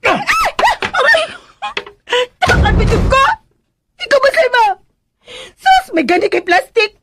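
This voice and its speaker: A woman in a radio drama playing an excitable young woman with a whiny, exclaiming manner. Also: very high-pitched, slow, noisy background, full character voice. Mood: flustered whining.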